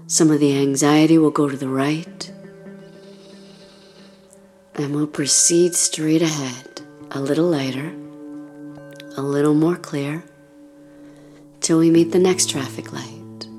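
Slow, low, hushed woman guiding meditation. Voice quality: soft, breathy, often near a whisper. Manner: soothing, gentle, unhurried, with long pauses. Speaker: meditation guide. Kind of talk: guided meditation, body scan narration.

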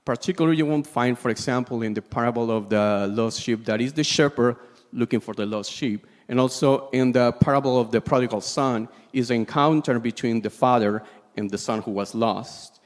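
A man with a Peruvian Spanish accent delivers a sermon in a mid-range voice, heard with long hall reverb. He is a native Spanish speaker and goes haltingly, feeling for his words as he preaches. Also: medium pitch. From Peru. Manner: halting, searching for words in a second language.

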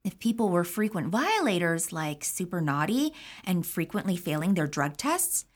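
A woman's voice: on the low side, very animated, moderate pace. An actress playing a woman who tells a chatty, wry story about probation. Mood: amused. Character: woman recalling probation drug tests. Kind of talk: audio drama acting.

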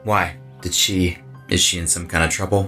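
An actor plays an evasive, cocky rock musician in a horror drama. His low voice is offhand and guarded, with music faintly behind it. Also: flat delivery, normal speed, pushed and bright. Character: evasive, cocky male metal-band musician.

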